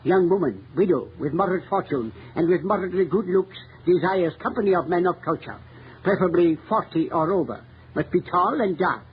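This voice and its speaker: An actor playing a determined, obsessive chief of police in an emphatic, theatrical 1930s radio melodrama style. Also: male voice, high voice, animated, a little fast, phone-quality sound. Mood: hushed, commanding. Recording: scratchy old radio recording, thin and noisy.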